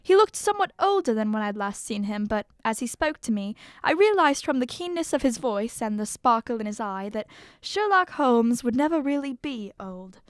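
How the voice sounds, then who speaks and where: Woman as nostalgic older gentleman, loyal, genteel phrasing, lightly high pitch, dry sound, audio drama. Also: no echo, close to an everyday voice. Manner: genteel, old-fashioned phrasing. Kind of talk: audio drama acting with first-person narration.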